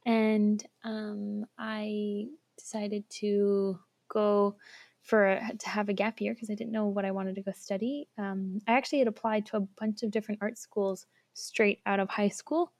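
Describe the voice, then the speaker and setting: Chatty young woman with a gravelly edge. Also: mid-range voice, steady tone, unhurried, darkish tone, no room sound. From Switzerland. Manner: chatty, laughs often, lots of fillers. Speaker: guest. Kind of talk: casual interview storytelling. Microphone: remote online call recording.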